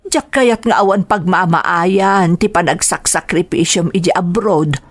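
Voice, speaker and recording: A woman's voice: fairly low pitch, measured pace. A woman playing a concerned mother who confides hard truths to her grown son in a radio drama, earnest and motherly.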